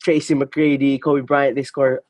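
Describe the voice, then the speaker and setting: A man's voice: mid-range voice, level tone, measured pace, a little mellow, no room sound, studio quality. A man, chatting casually as an interview guest, laughing often and using many fillers. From Philippines. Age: 20s. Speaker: guest, marketing head.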